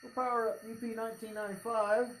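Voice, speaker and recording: A hobbyist presenter giving a casual, unscripted demonstration in a halting, rambling way, often clearing the throat. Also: medium pitch, flat delivery, fairly bright tone, a little hiss or hum, poor audio. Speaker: hobbyist presenter showing a model train layout.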